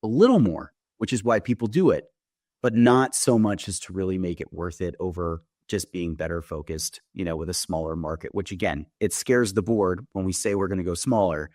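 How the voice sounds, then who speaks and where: A man's voice: low-pitched, fairly quick, a little mellow, no echo, studio quality. A man giving a conversational product demo, explaining things step by step with the odd check question. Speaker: company founder giving a software demo.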